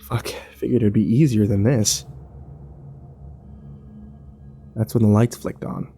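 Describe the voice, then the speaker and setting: A man playing a grim, fearful survivor, narrating his ordeal in a brooding, measured first-person horror style. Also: lowish voice, fairly even intonation, mellow, soft background music, close to an everyday voice. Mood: dread.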